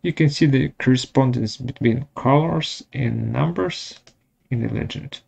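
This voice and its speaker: Slow, gravelly man presenting a software tutorial. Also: mid-range voice. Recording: dull lo-fi audio.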